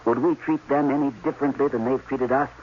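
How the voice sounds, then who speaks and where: An actor playing an embittered, fatalistic scientist held captive, speaking emphatically in a 1980s radio drama. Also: male voice, mid-range voice, steady tone, fast talker, natural voice. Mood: bitter, agitated. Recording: dull, noisy old radio broadcast.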